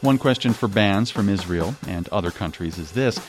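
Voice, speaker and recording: A man narrating a radio news report in a measured, scripted delivery. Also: lowish voice, expressive, loud music behind the voice.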